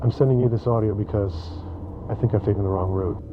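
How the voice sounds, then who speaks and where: A man's voice: lowish voice, fairly even intonation, quick pace. Tinny phone message from a lost man an actor. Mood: uneasy. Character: lost, disoriented middle-aged man stranded in a tunnel. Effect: phone voice message filter. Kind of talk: acted voice message in a horror story. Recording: tinny phone message, loud vehicle noise.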